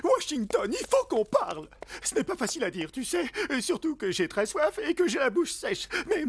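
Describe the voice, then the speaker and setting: Anxious little cartoon animal: high, swooping, fretful and earnest, over music. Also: very animated, moderate pace, a little hiss or hum. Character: anxious, earnest, naive small animal pet, Sam. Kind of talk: cartoon dub acting.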